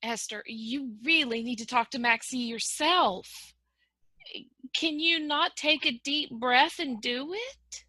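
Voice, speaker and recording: A woman's voice: sits high, slow pace, pushed and bright, no echo, full character voice. A woman playing a frank, concerned wartime confidante in a play reading, speaking in a direct, practical way. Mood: concerned, urging. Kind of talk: one-act play table read. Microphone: video call.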